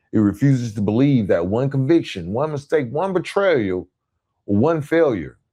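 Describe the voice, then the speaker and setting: Low, bright-toned motivational man. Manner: emphatic, earnest, builds repeated phrases in parallel. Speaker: podcast host. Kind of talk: motivational monologue.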